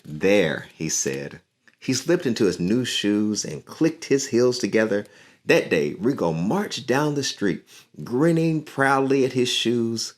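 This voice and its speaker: A man reading a picture book aloud to children in an encouraging, unhurried way. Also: medium pitch, sing-song. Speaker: community leader and musician reading to children.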